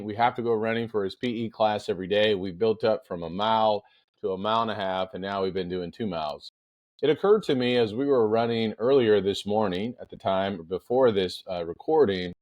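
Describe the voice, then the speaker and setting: A middle-aged man with a powerful voice, hosting a podcast in an emphatic, deliberate motivational monologue with storytelling. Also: lowish voice, a little mellow, no room sound. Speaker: podcast host.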